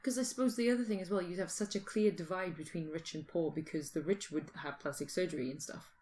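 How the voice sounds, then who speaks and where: British woman podcast host, lowish voice, just chatting and thinking out loud. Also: fast talker, slight background noise. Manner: informal, musing, thinks aloud. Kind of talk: casual speculative chat.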